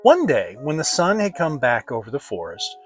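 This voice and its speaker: A narrator giving a theatrical, expressive dramatic reading of a children's storybook. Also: mid-range voice, moderate pace, soft background music. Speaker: audiobook narrator.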